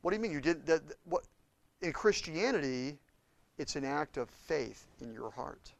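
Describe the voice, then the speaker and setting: A man preaching a sermon with impassioned swings between loud and hushed delivery. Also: fairly high pitch, animated, moderate pace, vocal fry. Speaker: pastor.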